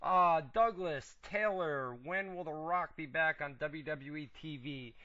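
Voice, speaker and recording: A man's voice: fairly high pitch, measured pace, bright, forceful tone, no echo. A man hosting a casual wrestling talk radio show, laid-back and chatty, losing his voice as he reads out listener questions. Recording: dull lo-fi internet radio audio.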